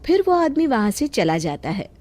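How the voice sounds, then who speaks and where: High-voiced woman narrating a story. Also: very animated, normal speed, a little mellow. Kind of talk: scripted children's story narration.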